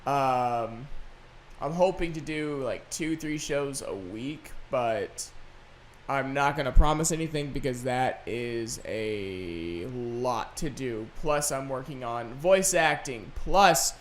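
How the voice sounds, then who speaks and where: A man hosting a solo podcast, chatting casually and unscripted in a rambling, halting way. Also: medium pitch, slight background noise. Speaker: podcast host and gaming video creator.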